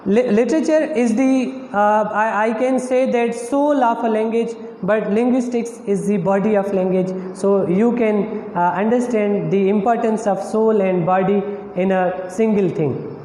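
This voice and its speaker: Pakistani man lecturing in an echoey room. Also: measured pace. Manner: explanatory, many fillers and restarts, lively pitch on key terms. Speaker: English lecturer. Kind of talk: recorded lecture to students. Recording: echoey room with faint noise.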